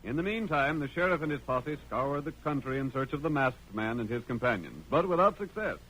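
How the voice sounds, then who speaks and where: A man's voice: medium pitch, big pitch swings, fairly quick, a bit bright. A man narrating a scripted western drama in the style of 1940s radio. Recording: noisy vintage radio recording.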